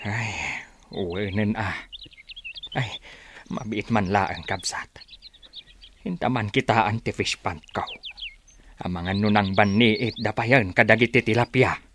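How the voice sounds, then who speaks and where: A man's voice: fairly low pitch, a little slow, pauses often, a bit bright, a little hiss or hum. A man playing a worried, protective village father and farmer in a radio drama. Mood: resigned, complaining. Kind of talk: radio drama acting in Ilocano.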